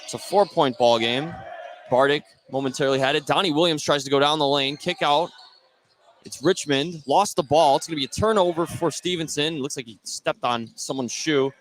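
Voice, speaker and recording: A man's voice: fairly high pitch, moderate pace, a bit bright. A man doing live play-by-play radio commentary on basketball, in clipped running phrases that follow the action. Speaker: play-by-play announcer. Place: indoor basketball game. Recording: clear background noise from live gym.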